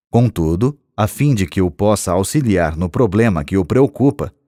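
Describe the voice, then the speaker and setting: A man with a Brazilian accent narrating an audiobook in a measured, expressive reading style. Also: on the low side, dry recording, clean studio sound.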